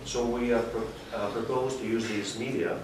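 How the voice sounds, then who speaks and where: A man explaining as a panelist, halting as he presents without a script. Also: medium pitch, steady tone. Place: indoor panel discussion room. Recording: distant echoey room, clear noise.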